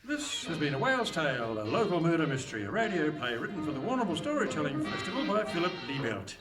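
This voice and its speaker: A man's voice: on the high side, sing-song, fast talker, music in the background. A man playing a wry, bantering announcer in a comic radio play. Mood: grand. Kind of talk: comic scripted narration before live audience. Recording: echoey hall, slightly distant mic.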